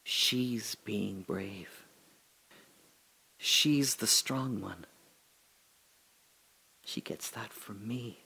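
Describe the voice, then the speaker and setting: An actor playing a dying older father, telling his memories in a halting, breathy dramatic monologue that drops to whispers. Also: on the low side, pushed and bright, low-quality recording. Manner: halting, breathy, emotional, many pauses and restarts. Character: dying old father recalling his daughter's birth.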